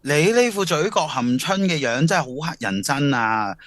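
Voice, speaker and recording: High-pitched grumbling Hong Kong schoolboy played by a man. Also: expressive, moderate pace, a bit bright. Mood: exasperated. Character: blunt, grumbling teenage schoolboy, loyal best friend. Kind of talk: youth radio drama acting.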